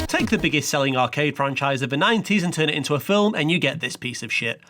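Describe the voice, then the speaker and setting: A man narrates a scripted comic review with heavy sarcasm and swearing. His delivery swings widely in pitch for mock drama, with clear music running underneath him. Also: lively intonation, quick pace. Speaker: narrator of a video game countdown review. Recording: music playing behind the voice. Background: background music.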